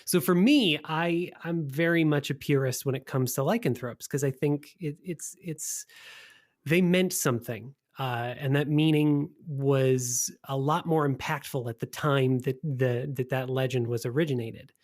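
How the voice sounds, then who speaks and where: A man's voice: mid-range voice, steady tone, unhurried. A man hosting a podcast, talking with enthusiasm in both introductions and conversation. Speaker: podcast host. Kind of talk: scripted podcast introduction and casual interview talk.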